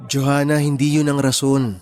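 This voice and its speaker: Actor as gentle, principled young man, radio drama. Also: mid-range voice, little pitch movement, moderate pace, some chatter behind, lightly acted. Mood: gentle, earnest. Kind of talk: Tagalog radio romance drama acting.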